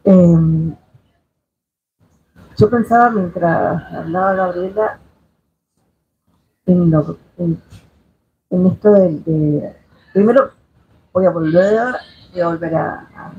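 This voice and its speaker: A woman in her fifties from Chile, speaking as a panelist in a discussion, reflective and hesitant with many fillers. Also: fairly low pitch. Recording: tinny lo-fi sound, faint noise.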